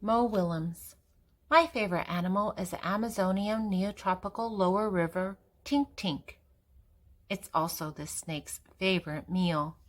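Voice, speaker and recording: An older woman reads aloud from a children's picture book. Her pace is slow and deliberate, with a mid-range pitch. She is expressive and puts clear emphasis on key words. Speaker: children's story reader and channel host.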